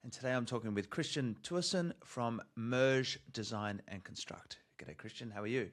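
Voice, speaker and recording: A man's voice: mid-range voice, moderate pace. An Australian man hosting a podcast, asking questions in a friendly, informal way. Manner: friendly, informal, says "mate". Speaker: podcast host. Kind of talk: casual interview chat.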